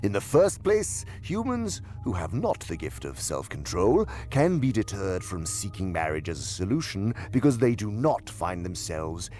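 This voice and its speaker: An actor playing a senior demon in a radio drama, a sly, imperious mentor who speaks pompously and savors each word with theatrical emphasis in a gravelly voice. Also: male voice, low voice, big pitch swings, normal speed, few pauses, darkish tone. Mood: sly instructing.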